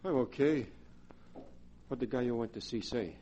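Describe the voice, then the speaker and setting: An actor playing a timid, nervous gangster's sidekick in a 1940s radio drama, with hesitant, slangy talk. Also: male voice, medium pitch, normal speed, warm tone, lo-fi sound, a little hiss or hum, natural voice. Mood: evasive, jittery. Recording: vintage 1940s radio recording.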